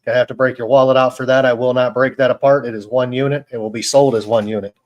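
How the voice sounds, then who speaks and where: A man hosting a casual live stream about fishkeeping, talking in a relaxed, rambling way with many fillers. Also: mid-range voice, quick pace. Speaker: host.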